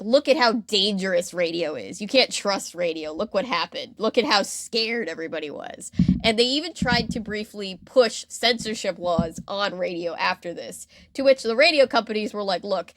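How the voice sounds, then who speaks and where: Podcast host: American woman, conversational storyteller with mid-range pitch, acts out quotes, very dry studio sound. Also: no echo. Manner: animated retelling with mock quotes and filler "like". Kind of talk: casual explanatory storytelling chat.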